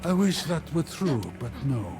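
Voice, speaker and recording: A man playing a remorseful father god who rules the universe, speaking in a halting, weary way in a video game. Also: on the high side, expressive, natural voice. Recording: clear music behind the voice.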